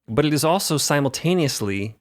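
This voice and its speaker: A man's voice: on the high side, lively intonation, fairly quick. A man playing a tabletop roleplaying game, improvising descriptions in a theatrical, ornate way.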